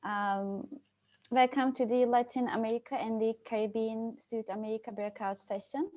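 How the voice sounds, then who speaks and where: Young woman from turkey hosting a zoom session, kinda high voice, lots of ums and pauses. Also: flat delivery, a little mellow. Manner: hesitant, many fillers and restarts. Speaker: youth moderator. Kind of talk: hosting an online breakout session. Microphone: video call.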